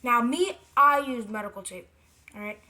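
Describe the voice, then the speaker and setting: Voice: mid-range voice, moderate pace, bright, forceful tone. A video creator giving a casual how-to craft tutorial, rambling, correcting themselves and repeating points for emphasis. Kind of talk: casual DIY how-to vlog talk.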